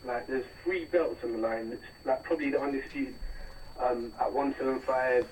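A man calling in to a boxing show, speaking quietly and rambling with fillers. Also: mid-range voice, steady tone, moderate pace, slight background noise. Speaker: caller. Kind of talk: casual phone-in chat. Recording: distant tinny phone line.

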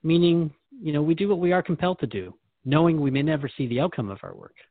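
A man's voice: on the high side, fast talker, phone-quality sound. A man hosting a conversational podcast interview, speaking in an earnest, questioning and reflective way. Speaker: host interviewing a guide.

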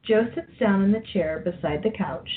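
A woman's voice: mid-range voice, moderate pace, slight background noise. A woman narrating a scripted radio play, reading the scene and action as a story. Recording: dull lo-fi, music behind.

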